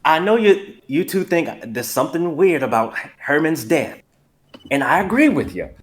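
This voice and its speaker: A suspicious, opinionated male store cashier, acted by a man in a script reading. His voice sits fairly high and moves up and down a lot. He sounds insistent, dramatic and gossipy. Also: big pitch swings, moderate pace. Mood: knowing, firm.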